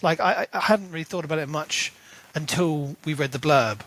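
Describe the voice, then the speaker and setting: A man with a slightly high voice for a male speaker. He chats informally as a co-host on a book podcast. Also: normal speed, fairly bright tone, rough recording. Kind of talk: casual book discussion.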